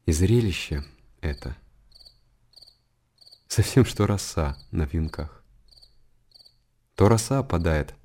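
An actor playing a sorrowful, contemplative narrator in a radio drama, speaking in a measured, declamatory way. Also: male voice, low voice, mellow. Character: sorrowful male narrator musing on life's impermanence.

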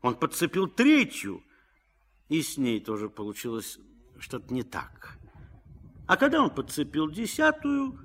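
Very expressive male radio play narrator. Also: on the high side, a little slow.

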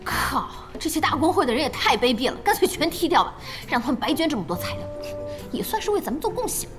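A feisty young woman running a gaming guild in an audio drama. Her voice sits high and swings widely as she exclaims bluntly. Music is clearly audible underneath. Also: big pitch swings, quick pace. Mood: indignant.

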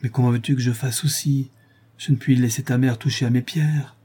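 Man playing a weary, exasperated gem-cutter, mellow and husky. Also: mid-range voice, moderate pace, voice pushed up, close to an everyday voice. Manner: pleading, scolding, raising his voice.

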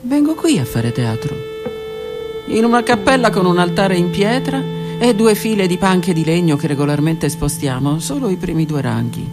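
A woman reading her own literary text aloud on the radio, in a slow, intimate voice that often drops to a whisper. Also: lowish voice, animated. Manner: slow, intimate, hushed delivery with whispered words. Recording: radio broadcast with faint music.

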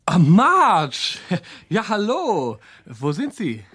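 A slippery young male impostor in a radio drama, highish voice, swingy, polite but stalling. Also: huge pitch swings, very slow, fairly bright tone, breathy edge, heavily acted. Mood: flustered, cheerful. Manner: hesitant, polite, improvising excuses. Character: evasive, smooth-talking young American male impostor. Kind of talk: radio play acting.

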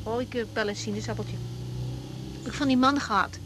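A woman's voice: on the high side, fairly quick, background noise, lightly acted. An actress playing a frank young woman doctor in a naturalistic Dutch radio drama, hesitant and informal in her speech. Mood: animated, confiding. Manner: hesitant, repeating words, informal. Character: young woman doctor, frank, caring but easily thrown.

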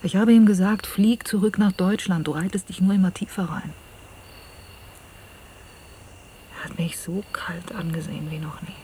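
Actress doing troubled woman in a radio play, hushed mellow voice, bit of tape hiss, insects chirping. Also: fairly low pitch, a little fast, lightly acted. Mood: helpless, torn. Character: troubled, soft-spoken woman recounting an entangled love affair. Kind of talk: radio drama acting, intimate dialogue and interior narration. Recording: hissy old cassette radio-play recording.

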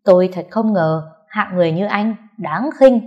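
A woman voicing a fiery, foul-mouthed younger sister who shouts and scolds in a high, sharp voice. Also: animated, normal speed, highs cut off, natural voice. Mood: angry. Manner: loud and fierce.

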